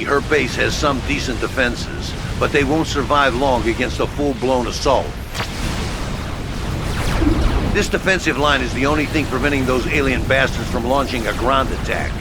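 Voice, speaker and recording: An actor playing a gruff, blunt headquarters officer in a video game, giving clipped, commanding mission updates. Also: male voice, medium pitch, heavy background noise, natural voice. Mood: urgent. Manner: clipped, commanding military radio talk. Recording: loud game music behind.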